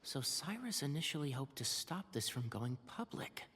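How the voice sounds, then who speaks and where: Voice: mid-range voice, normal speed, a little hiss or hum. A voice actor playing a composed traveler hero in a game cutscene. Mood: calm. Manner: calm, composed and reassuring. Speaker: traveler, player character.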